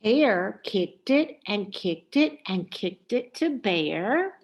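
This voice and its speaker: Lady reading a kids book, very lively, takes her time. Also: medium pitch. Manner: deliberate, expressive. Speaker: storybook reader. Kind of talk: expressive children's story narration.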